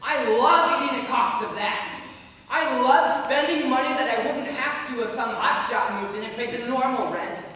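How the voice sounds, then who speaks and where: A woman's voice: a little high, moderate pace, a bit bright, lightly acted. A woman on stage playing a grumpy, suspicious, money-minded landlord with a sarcastic, griping delivery. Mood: bitter, griping. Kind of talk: amateur stage play acting. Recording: echoey hall, distant mic, faint noise.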